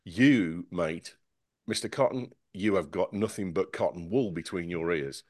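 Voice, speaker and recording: Man, low voice, dry close sound, sarcastic, animated, stumbling restarts. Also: unhurried, no room sound. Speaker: podcast panelist. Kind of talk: casual panel chat.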